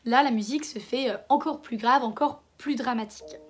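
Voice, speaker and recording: A hoarse woman with a cold hosting a casual commentary video, talking conversationally with hesitant restarts. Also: fairly high pitch, a little fast. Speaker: video essayist host.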